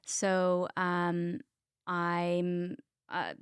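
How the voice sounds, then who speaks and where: A woman's voice: on the low side, completely flat delivery, extremely slow, smooth, no room sound, clean studio sound. A Texan woman in her thirties, chatting casually as a health coach guest, with lots of ums. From Texas. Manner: chatty, many ums, laughs at herself. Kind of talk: casual interview chat.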